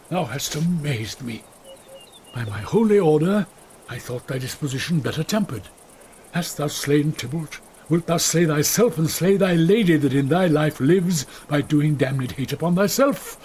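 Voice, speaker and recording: Stern, high-voiced old friar, played by a man. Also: darkish tone, close to an everyday voice. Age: older. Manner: declamatory, measured, scolding. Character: old holy friar, stern fatherly counsellor. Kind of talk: classical Shakespearean radio drama acting. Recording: faint hiss, 1990s radio drama CD.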